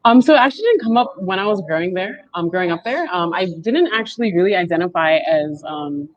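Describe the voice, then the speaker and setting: Low-voiced woman, soft and halting. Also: lively intonation, no room sound. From Canada. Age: 20s. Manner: soft-spoken, halting and reflective. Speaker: panelist. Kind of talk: personal storytelling on a panel. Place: indoors at home, on a video call. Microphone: wired earbuds with mic. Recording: dull lo-fi video call audio.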